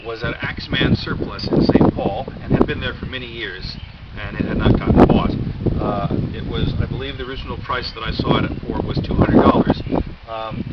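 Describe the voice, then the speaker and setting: Low-voiced American man, noisy lo-fi recording. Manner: wry, deadpan humour. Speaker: video host. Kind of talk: casual rambling show-and-tell. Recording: dull lo-fi audio, loud background noise.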